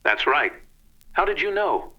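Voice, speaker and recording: An eager police detective played by a man with a lively, slightly high voice and deadpan politeness. It sounds like a dull, band-limited radio drama recording. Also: a little slow. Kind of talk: old-time radio detective acting.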